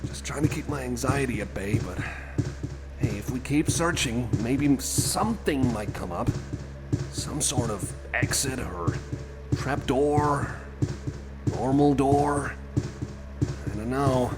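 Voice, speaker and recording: A friendly cyborg companion acted by a man talks over clear background music in a mid-range voice with lively swings in pitch. He rambles nervously in a casual ASMR roleplay, hesitating and doubling back on his phrases. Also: takes it slowly, long pauses. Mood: anxious, apologetic. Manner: rambling, hesitant, repeats himself.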